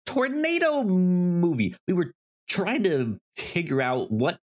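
A man co-hosting a podcast in casual movie chat, speaking haltingly with frequent repeated words and false starts. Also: sits high, big pitch swings. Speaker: podcast co-host.

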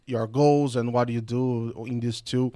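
A man's voice: medium pitch, steady tone, moderate pace, mellow, no room sound. A man hosting an interview podcast, asking questions in an enthusiastic, loose way with many fillers. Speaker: podcast host.

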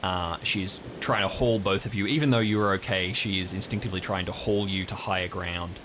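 A man's voice: low voice, steady tone, brisk, background noise. A man working as game master, improvising vivid second-person narration of a tabletop adventure. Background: music.

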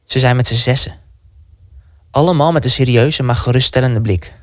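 A man's voice: medium pitch, moderate pace, no room sound, clean studio sound, natural voice. A man acting an anxious, defiant young resistance recruit in a dramatic first-person radio play. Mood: relieved.

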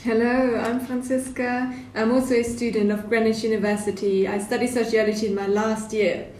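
Young woman, soft dark tone, student podcast panel, bit far from mic, echoey. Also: on the high side, flat delivery, moderate pace. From Germany. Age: young adult. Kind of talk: prepared podcast discussion. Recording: echoey room, distant mic, faint noise.

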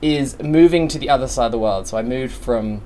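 A man from England with an English accent carrying an Australian twang, chatting casually as a podcast guest, upbeat and quick to laugh. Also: dark tone, a little hiss or hum. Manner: upbeat, laughs often, breaks into high laughs. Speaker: podcast guest, content creator. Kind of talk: casual chat.

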